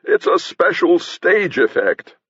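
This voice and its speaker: A man voicing a cocky young male stage actor, showy and mock-grand with deadpan asides, in an audiobook. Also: mid-range voice, takes it slowly, creaky, husky, no room sound, dull audio, voice pushed up, heavily acted. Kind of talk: audiobook character acting.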